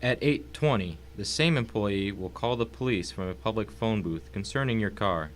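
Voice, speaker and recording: A man acting a wealthy, cultured villain in a story drama, formal and mock-courteous, calmly threatening. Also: on the low side, normal speed, slight background noise, lightly acted. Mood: courteously menacing. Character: calm, wealthy, menacing husband, cultured villain. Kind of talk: dramatic audio reading of a short story.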